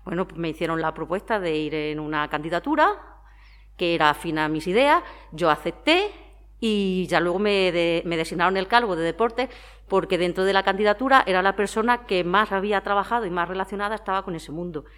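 Lower-voiced Spanish woman in her forties. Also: fairly quick, fairly bright tone. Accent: Spanish (Spain). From Madrid, Spain. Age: 40s. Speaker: interviewee, former athlete and town sports councillor. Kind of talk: conversational interview answers.

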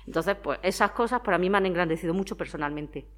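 This is a woman in her forties with a Spanish accent from Spain. Her voice sits a little low for a woman. She talks freely and conversationally, answering as an interviewee and explaining things through examples. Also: fast. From Madrid, Spain. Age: 40s. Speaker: interviewee, former athlete and town sports councillor.

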